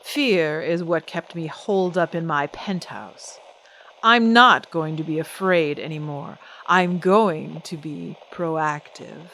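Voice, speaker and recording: Actress as a low-voiced, poised starlet. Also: female voice, slow, fairly bright tone, a little hiss or hum, exaggerated voice, low-quality recording. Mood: coolly menacing. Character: poised, vengeful female singing celebrity, coolly defiant. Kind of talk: old-time radio drama acting.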